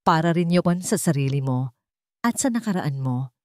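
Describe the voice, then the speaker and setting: A woman voicing a hurt but tender, forgiving wife in a romance audio story, earnest and measured. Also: deep voice, animated, darkish tone, no room sound, studio quality.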